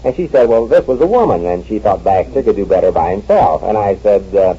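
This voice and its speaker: An actor playing a sly, wry husband who tells stories and takes a phone call, in 1940s radio acting style. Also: male voice, fairly low pitch, animated, brisk, slight background noise, natural voice. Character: dry, wry scheming husband. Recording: dull lo-fi old radio recording.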